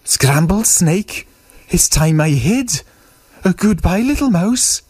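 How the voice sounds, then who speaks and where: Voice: medium pitch, animated, slow, darkish tone, husky, no room sound, voice pushed up. A performer voicing a silly male storybook snake, sly yet easily scared. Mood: frightened. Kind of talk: storybook character voice.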